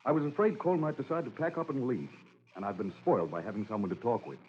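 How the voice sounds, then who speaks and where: An actor playing a gentle, courteous blind man in an old-time radio drama, polite and self-assured. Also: mid-range voice, fast talker, natural voice. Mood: welcoming. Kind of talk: 1940s radio drama acting. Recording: muffled, noisy old radio recording.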